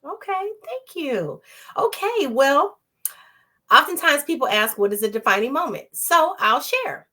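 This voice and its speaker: Woman host, bright and fairly high voice, casual and affirming, echoes the guest. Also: big pitch swings, a little slow. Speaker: interview host. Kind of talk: casual interview chat.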